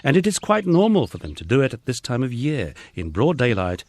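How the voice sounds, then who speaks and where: A man narrating a first-person memoir in a measured, storytelling way, as an audiobook reader. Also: mid-range voice, wildly swooping pitch, darkish tone, no room sound.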